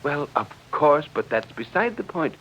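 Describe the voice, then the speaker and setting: An actor playing a stiff, formal meeting leader who talks evasively and haltingly, in 1940s radio drama style. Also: male voice, on the high side, big pitch swings, fairly bright tone, pitched above the performer's own voice, full character voice. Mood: resentful, evasive. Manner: formal, euphemistic, hesitant. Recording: dull old radio recording.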